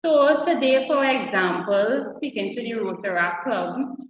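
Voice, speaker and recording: A woman's voice: fairly high pitch, lively intonation, measured pace. A woman leads a public speaking workshop and puts questions to her listeners. She sounds far from the mic, with a long, roomy reverb around her voice. The sound is dull and lo-fi, with a faint hiss underneath. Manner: engages the audience with direct questions. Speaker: workshop co-presenter. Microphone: online session audio. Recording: dull distant echoey hall sound.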